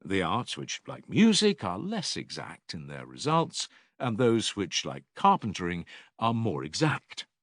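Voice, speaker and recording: Eloquent older philosopher, very animated intonation, low pitch, measured rhetorical questioning, patient teacher, audiobook dialogue. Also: male voice, no room sound, studio quality, heavily acted. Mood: explanatory.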